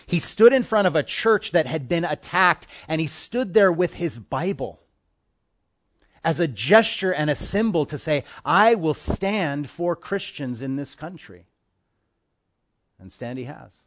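A man preaching a sermon as pastor of his church, impassioned, swinging between hushed asides and forceful declarations. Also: fairly high pitch, expressive, a little slow. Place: church in Hawaii.

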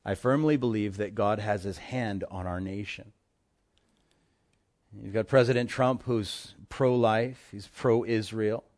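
A man preaching a sermon as pastor of his church, impassioned, swinging between hushed asides and forceful declarations. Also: on the low side, slow. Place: church in Hawaii.

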